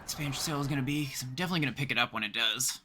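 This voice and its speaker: A man's voice: mid-range voice, very fast, pushed and bright. A man from Canada livestreaming a game, chatting casually with viewers as he plays. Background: game music.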